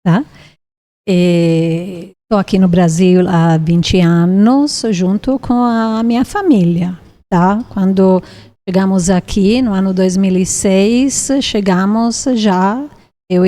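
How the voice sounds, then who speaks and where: A woman's voice: medium pitch, dark tone. An Italian-born woman in her fifties with an Italian accent, speaking Portuguese as a second language. She is a podcast guest sharing a personal testimony and often checks in with a questioning "tá?". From Italy. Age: 50s. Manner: unhurried, often ends sentences with a checking "tá?". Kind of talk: interview testimony.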